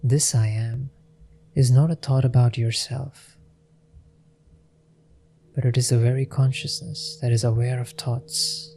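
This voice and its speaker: A man leading a guided meditation in a gentle, unhurried manner with long pauses. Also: mid-range voice, level tone.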